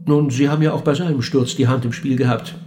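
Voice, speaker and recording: An actor playing a cool, probing interviewer of the dead in a German radio play, speaking in a measured, dry and pointed way. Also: male voice, mid-range voice, steady tone, darkish tone, close to an everyday voice. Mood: measured reciting.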